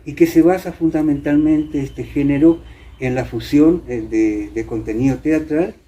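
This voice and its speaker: Mellow Argentine man reminiscing, slightly noisy recording. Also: a little high, moderate pace. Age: middle-aged or older. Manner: hesitant, explanatory, seeks agreement with tag questions. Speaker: interviewee, former radio actor. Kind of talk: reminiscing interview talk.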